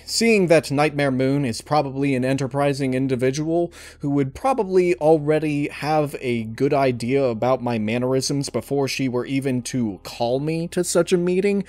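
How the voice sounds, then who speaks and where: A man giving casual interview answers in a halting, wordy way, often amused. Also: fairly high pitch, a little mellow, dry recording. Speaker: interviewee, audio story reader. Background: music behind the voice.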